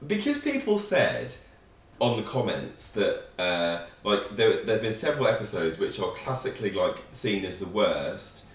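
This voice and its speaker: A man's voice: fairly low pitch, sing-song, normal speed. A man chatting casually on a reaction video, thoughtful and searching for his words. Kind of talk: casual chat. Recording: very echoey sound from a distant mic.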